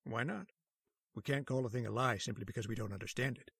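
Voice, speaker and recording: A man acting a calm, learned hospital doctor in a radio horror drama, speaking in a measured, authoritative way. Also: mid-range voice, vocal fry, breathy edge. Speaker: voice actor.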